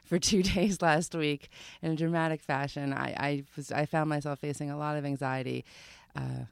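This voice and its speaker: A woman's voice: deep voice, fairly even intonation, moderate pace, creaky, clean tone, dry recording. A woman from Long Island, a podcast guest, chatting casually and self-deprecatingly with easy laughs. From Long Island, New York. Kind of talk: casual interview chat.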